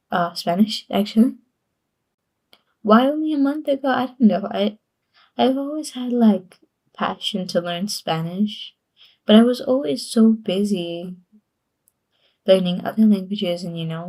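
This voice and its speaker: A woman's voice: medium pitch, dark tone, low-quality recording. A woman streamer chatting casually on a livestream, rambling and giggling often between sentences.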